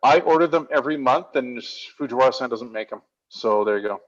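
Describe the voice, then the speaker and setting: A man's voice: medium pitch, fairly quick, dull audio. A man co-hosting a casual live-stream chat, reading out viewer questions in a joking, chatty way. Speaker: co-host of a knife shop Q&A stream.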